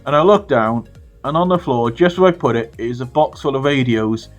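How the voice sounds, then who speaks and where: A British man telling a funny story to his viewers in a casual, animated way, swearing freely. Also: mid-range voice, moderate pace, muffled recording, faint music behind. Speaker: storytelling vlogger.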